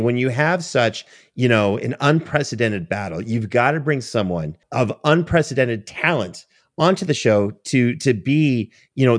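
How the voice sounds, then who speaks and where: A man with a mid-pitched voice, recorded dry. He hosts conversationally, with chatty, teasing banter. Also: no room sound. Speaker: podcast host. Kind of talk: conversational show hosting with banter.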